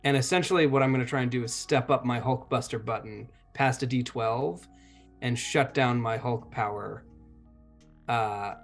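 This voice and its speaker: A man talks as a player in a tabletop roleplaying game, at an average pitch. He thinks aloud in a casual, deliberate way with pauses. Faint music sits behind his voice. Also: creaky. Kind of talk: casual game talk, describing character actions.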